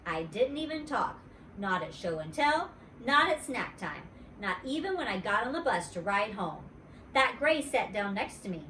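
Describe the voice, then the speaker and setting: A woman's voice: mid-range voice, moderate pace. A woman reading a children's story aloud expressively, in the first-person voice of a child. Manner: expressive, dramatizing dialogue. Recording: roomy echo, distant mic, faint noise.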